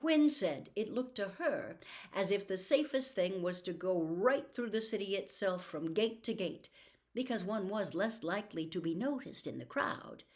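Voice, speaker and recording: A woman narrating a classic children's story as an audiobook reader, with expressive storybook delivery. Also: mid-range voice, moderate pace.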